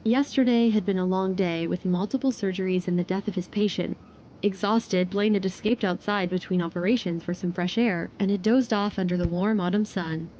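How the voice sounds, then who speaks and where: Mellow female audio drama narrator. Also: medium pitch, a little fast, muffled recording, slight background noise.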